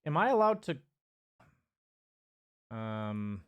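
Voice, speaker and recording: A man's voice: fairly low pitch, big pitch swings, drawn-out words, clear, resonant tone. A man coaching a player one-on-one in a loose, chatty way, with lots of fillers and the odd swear word. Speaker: game coach. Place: streaming from home.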